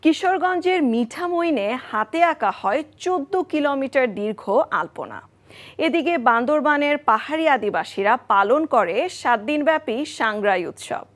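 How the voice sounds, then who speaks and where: A woman's voice: high voice, lively intonation, normal speed. A woman with a Bangladeshi accent presenting a television magazine show from a script. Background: faint background music.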